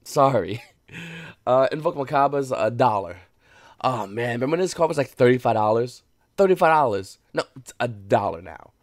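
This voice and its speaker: A man giving casual, opinionated commentary and swearing now and then, keeping his voice lowered at home. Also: animated, normal speed, no echo, studio quality. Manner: keeps voice lowered. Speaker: card market commentator. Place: home, early morning.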